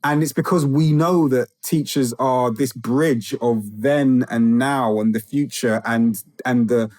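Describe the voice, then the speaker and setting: A man's voice: mid-range voice, unhurried, a little mellow, dry recording. A man from England speaks as a podcast guest, thoughtful and searching, circling back to rephrase before landing his point. His voice sits in a middle register. The sound is close and dry, with no room around it. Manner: reflective, with restarts and rising emphasis. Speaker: guest, author and teacher. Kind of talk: conversational interview.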